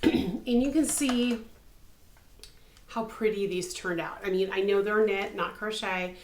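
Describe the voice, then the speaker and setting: A woman in her fifties, slightly hoarse, hosting a casual craft podcast from her home craft room, upbeat and full of ums. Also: mid-range voice, a little slow, a little hiss or hum. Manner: chatty and upbeat, many ums. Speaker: podcast host, crochet designer. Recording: echoey room.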